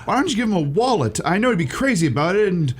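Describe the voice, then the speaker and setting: A man's voice: high-pitched, sing-song, quick pace, slightly rough, heavily acted. A man playing a mild, wry, hesitant shop clerk with earnest feeling in a radio play. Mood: eager, persuasive. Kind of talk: old-time radio theatre acting.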